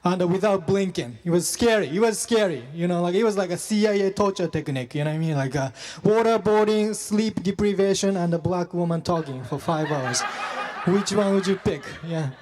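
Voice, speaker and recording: A young man performing stand-up, self-deprecating and halting, full of fillers and restarts. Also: sits high, darkish tone, in a large hall. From Japan. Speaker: stand-up comedian. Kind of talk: stand-up comedy set.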